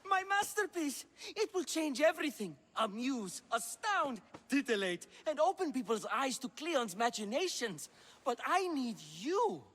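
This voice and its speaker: A pompous, fretful playwright for a video game. His voice is very high for a man and swoops theatrically, full of self-importance. It rings with long reverb. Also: big pitch swings, measured pace, fairly bright tone, exaggerated voice. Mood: grandiose. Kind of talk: video game cutscene acting.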